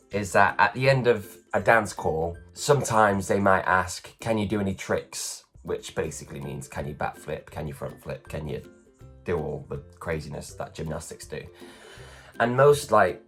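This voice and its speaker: A man in his thirties from England, telling self-deprecating stories in a casual morning vlog, his voice low and worn after a late night. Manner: chatty, self-deprecating, acts out others' lines. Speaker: vlogger, performer. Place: at home.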